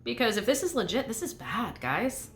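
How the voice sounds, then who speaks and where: A woman's voice: fairly high pitch, big pitch swings, normal speed. A woman voicing a cool young demon girl in a storybook, knowing, scoffing and no-nonsense. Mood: stern.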